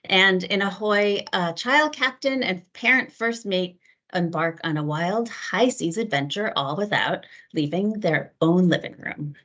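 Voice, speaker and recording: A woman finishing a book presentation and handing it off briskly. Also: on the low side, fairly bright tone. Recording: muffled dull audio.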